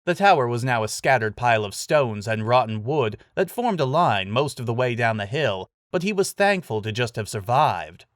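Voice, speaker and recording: A man's voice: mid-range voice, expressive, moderate pace, hardly any pauses, no echo, clean studio sound. A man reading scripted dramatic fantasy fiction as narrator.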